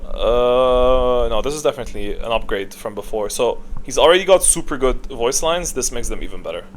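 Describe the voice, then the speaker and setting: A man doing casual, unscripted tier-list commentary, opinionated and halting as he thinks aloud. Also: medium pitch, lively intonation. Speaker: video creator, gaming commentator.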